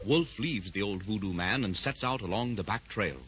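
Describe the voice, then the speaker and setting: A man gives dramatic, emphatic narration for a 1930s radio adventure serial. His voice is bright but husky, and it comes through a dull old radio recording. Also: lowish voice, moderate pace.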